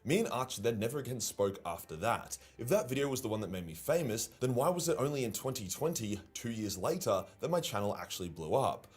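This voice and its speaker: A man reading a scripted response video, defending himself in a brisk, argumentative manner. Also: mid-range voice, big pitch swings, slightly rough. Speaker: YouTuber.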